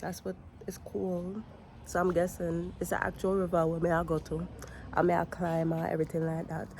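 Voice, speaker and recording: A young woman talks into her phone camera in a slangy, chatty vlog, her voice raspy and a bit gravelly from a cold. She sits low in pitch, lets her sentences trail into fillers, and speaks over a faint hiss of background noise. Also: completely flat delivery, a little fast, darkish tone. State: sick with a cold, runny nose. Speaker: vlogger. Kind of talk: casual vlog chat. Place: outdoors on a hot morning with a view.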